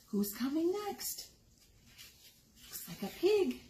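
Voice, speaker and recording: A woman's voice: sits high, very animated, slow, mellow. A woman doing a picture book read-aloud for young children, asking her listeners questions and exclaiming over the pictures. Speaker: story time reader. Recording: roomy echo with noticeable background noise.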